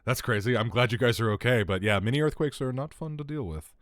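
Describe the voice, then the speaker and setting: A man's voice: medium pitch, lively intonation, fast talker, a bit bright, no room sound, clean studio sound. A man chatting casually as he streams a video game, talking in a rambling, conversational way. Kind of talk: casual livestream gameplay chat. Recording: game music behind the voice. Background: video game music.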